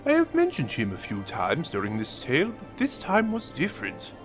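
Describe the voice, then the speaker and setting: A man's voice: fairly high pitch, wildly swooping pitch, moderate pace, music in the background. A man playing a gleefully menacing villain, a war-hardened renegade, in a theatrical, wry and sinister audio drama monologue that savours each phrase. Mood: brooding menace.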